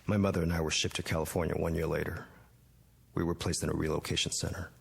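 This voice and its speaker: An actor playing a bitter, haunted young Japanese-American man in a 1960s television drama, speaking haltingly and intensely. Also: sits low, level tone, vocal fry, slightly rough, full character voice. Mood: bitter anger. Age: young adult. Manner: halting, intense, with repeated words.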